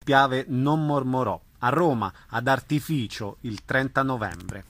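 A man's voice: medium pitch, a little slow. A man hosting a radio interview, introducing guests and asking questions. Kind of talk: radio interview on theatre events.

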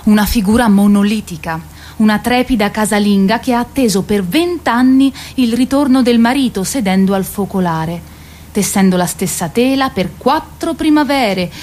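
A woman's voice: mid-range voice, normal speed, a little mellow, lightly acted. Radio drama: actress as weary, proud waiting queen of myth, mid-range voice, theatrical delivery with bitter irony. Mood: ironic. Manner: declamatory, ironic, lingering on repeated words.

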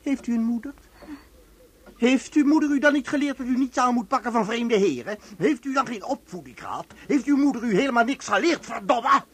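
An actor playing an officious, erratic inspector of lost souls in an absurdist radio play, lurching between soothing and bullying. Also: male voice, very high voice, lively intonation, fairly quick, fairly bright tone, husky, exaggerated voice. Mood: hectoring, puzzled.

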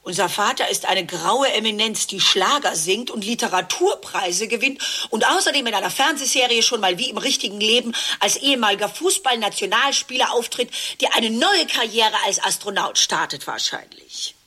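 A woman's voice: a little high, expressive, moderate pace, hardly any pauses, pushed and bright, slightly rough, exaggerated voice. An actress playing a sardonic, exasperated divorced woman in a radio play, with dry mockery in her delivery. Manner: sarcastic, dryly mocking. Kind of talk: German radio play dialogue and narration.

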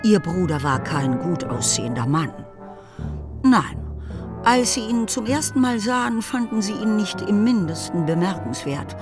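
Expressive woman narrator over music. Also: medium pitch, a little slow. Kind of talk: scripted literary narration for a German radio play.

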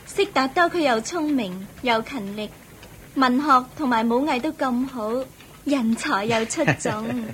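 Casting: actress as devoted, reassuring queen, high voice, measured and gentle, Hong Kong Cantonese radio drama, faint background noise. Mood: fond, proud. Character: gentle, devoted, reassuring middle-aged queen and foster mother.

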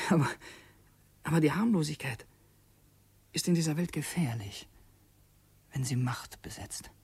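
An actor playing a brooding secretary who is secretly a revolutionary assassin, speaking haltingly and reflectively in a German radio drama, at times near a whisper. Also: male voice, on the high side, vocal fry, darkish tone, slightly rough, exaggerated voice. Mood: scornfully amused, then cold, calculating.

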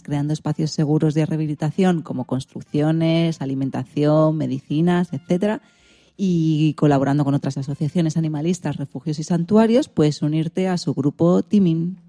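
A woman's voice: low voice, fairly even intonation, normal speed, dark tone. A woman hosting a radio show, presenting semi-scripted segments in an orderly way.

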